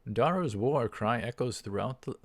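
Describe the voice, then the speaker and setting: A man's voice: mid-range voice, animated, normal speed. A man hosting a let's play, reading a visual novel script aloud as narrator and for its characters. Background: game music.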